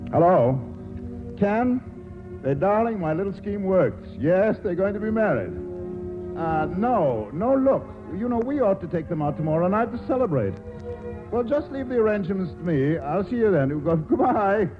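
Suave, lively old-radio charmer. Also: male voice, high-pitched, normal speed, music in the background. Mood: excited, pleased. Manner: glib, urbane, drily witty. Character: suave, self-satisfied, mercenary male writer and charmer. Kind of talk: 1940s radio play acting. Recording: noisy, tinny old radio broadcast.

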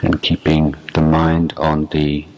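Very deep, slow-speaking male meditation teacher. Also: monotone, darkish tone, faint music behind. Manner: measured, deliberate, with long pauses between short phrases. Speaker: meditation teacher, Buddhist monk. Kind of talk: guided meditation instruction, unscripted dharma talk.

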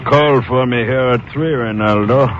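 An actor playing a genial, dignified government official in a 1950s radio drama. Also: male voice, mid-range voice, normal speed, natural voice. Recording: dull vintage radio.

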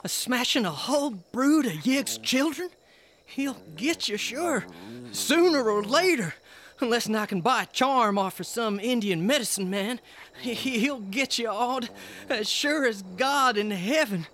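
A man's voice: very high-pitched, animated, a little slow, fairly bright tone, full character voice. A fretful pioneer husband full of superstitious fear. His rural American speech is jittery and stammering, in the manner of radio drama. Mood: dread, foreboding. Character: nervous, superstitious frontier settler husband, Walker.

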